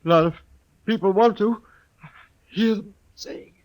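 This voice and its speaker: An actor playing a crazed, dying little killer in a 1950s radio western, confessing in halting anguish. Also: male voice, high-pitched, close to an everyday voice, poor audio. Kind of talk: 1950s radio drama acting. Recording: old 1950s radio recording.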